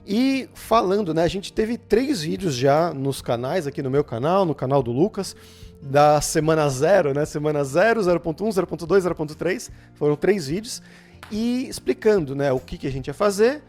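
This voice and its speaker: Young Brazilian host, Brazilian Portuguese accent, slightly high voice; casual, steers the chat with recaps and questions. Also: male voice, expressive, normal speed, darkish tone, soft background music. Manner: leads the talk, asks questions and recaps. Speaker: channel host.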